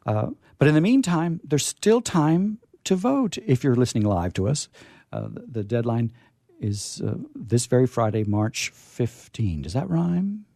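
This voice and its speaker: A man hosting a casual live radio chat, animated and playfully contrary, who often stammers and repeats words and phrases. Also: medium pitch, measured pace, creaky, no echo, studio quality. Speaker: radio show host.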